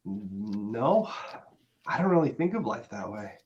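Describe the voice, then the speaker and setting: A man's voice: lowish voice, very animated, unhurried, a little mellow. A man acting an earnest, awkward, conventional boyfriend with a hesitant delivery, in a dramatic script reading. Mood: hurt, restrained. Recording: dull, lo-fi sound.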